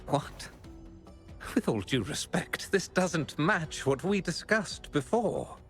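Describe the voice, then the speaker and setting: An actor playing a smug, defensive male head of an art exchange in a game, pompous and deliberate, with faint music underneath. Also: a little high, sing-song. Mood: indignant. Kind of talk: game voice acting.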